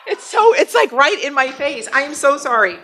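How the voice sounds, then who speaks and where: A woman with a slightly high voice runs a club lunch meeting from a handheld mic. She's chatty and self-deprecating. Her announcements are casual and friendly. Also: big pitch swings, normal speed, bright, forceful tone, slight background noise. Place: banquet room at a lunch meeting.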